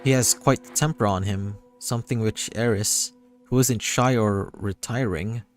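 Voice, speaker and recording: A man's voice: fairly low pitch, a little slow. Man reading a story aloud over music. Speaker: streamer reading a visual novel aloud. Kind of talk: livestreamed dramatic read-aloud, narration. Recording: music behind the voice.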